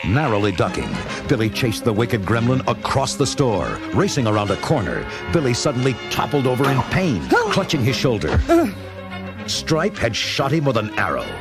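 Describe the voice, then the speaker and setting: A man's voice: medium pitch, sing-song, normal speed. A man narrating a children's read-along adventure in a dramatic, theatrical scripted style. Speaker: story narrator. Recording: loud music and effects behind narration.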